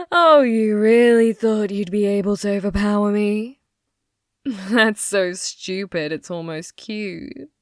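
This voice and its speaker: A woman's voice: mid-range voice, no room sound, studio quality, natural voice. A woman playing a possessive young yandere in an ASMR roleplay, teasing and coolly threatening, often dropping into a whisper. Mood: smug, possessive.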